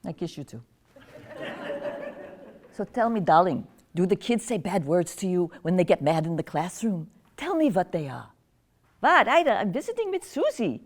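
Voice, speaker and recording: A woman's voice: lowish voice, sing-song, moderate pace. Woman playing wry old grandmother. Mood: doting. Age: older. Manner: gossipy, teasing, drifting into confusion. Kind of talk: one-woman stage monologue.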